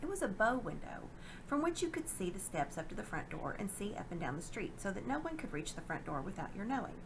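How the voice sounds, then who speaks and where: Expressive woman storyteller, very animated. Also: medium pitch, brisk, noisy background. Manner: dramatic, expressive. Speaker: storybook reader. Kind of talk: expressive read-aloud of a children's novel.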